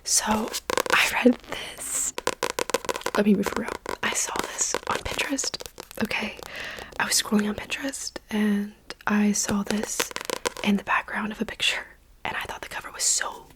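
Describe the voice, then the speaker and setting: A woman's voice: mid-range voice, fairly even intonation, normal speed, low-quality recording. Whispering young woman reviewing books. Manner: whispering, chatty and dramatic. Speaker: book reviewer. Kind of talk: whispered ASMR book review.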